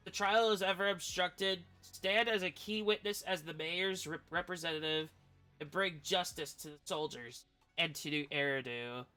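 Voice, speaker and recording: Guy streaming a game, reads the story out loud, clear kinda high voice, game music under it. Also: fairly even intonation, normal speed. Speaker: game streamer reading story text aloud. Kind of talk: reading game text aloud with casual asides. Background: video game music.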